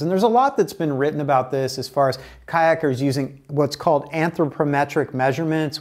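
A man in his forties, a kayak builder explaining his building process to the camera in a relaxed, gesturing way, in a bright indoor workshop. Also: medium pitch. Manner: relaxed, animated hand gestures while explaining.

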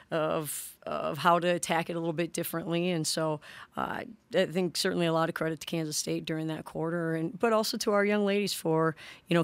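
A woman in her forties, a head basketball coach, answering questions at a postgame press conference in a measured way, often restarting her sentences. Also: fairly low pitch, clear, resonant tone, no room sound. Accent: American. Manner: measured, frequent restarts and "you know". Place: press room in front of a sponsor backdrop. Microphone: desk microphone on a stand.

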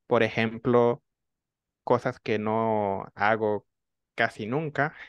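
Slow, reflective man with a dull-sounding mic. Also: on the low side, steady tone, clear, resonant tone, no room sound. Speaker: language student. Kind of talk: online language lesson chat. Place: small room indoors. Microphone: desk mic on boom arm.

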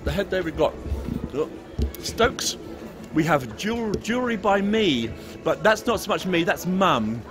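A man's voice: sits high, sing-song, a little slow, over music. An English man filming a casual walking vlog at an outdoor market on a gimbal camera, chatty and jokey, chuckling as he chats with stallholders. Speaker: vlogger touring a Christmas market. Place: busy outdoor Christmas market street. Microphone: handheld camera on a gimbal. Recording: crowd noise of a busy street market. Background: crowd chatter.

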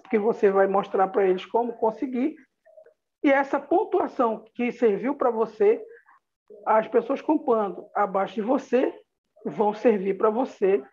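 A woman's voice: mid-range voice, darkish tone, husky. A woman with a Brazilian accent gives an upbeat, motivational business presentation over a video call, talking straight to her listeners. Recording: dull lo-fi video call audio.

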